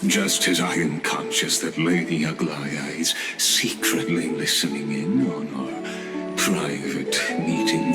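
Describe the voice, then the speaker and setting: A man's voice: extremely low pitch, exaggerated voice. A man voicing a courtly, inscrutable otherworldly envoy in a fantasy video game, with ceremonious and unctuously polite phrasing.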